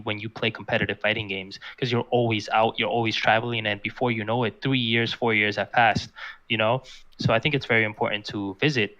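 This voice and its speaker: A young man speaking as a guest in a casual interview, talking in a flat, monotone way with many restarts. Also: medium pitch, rough recording. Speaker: guest, competitive fighting game player.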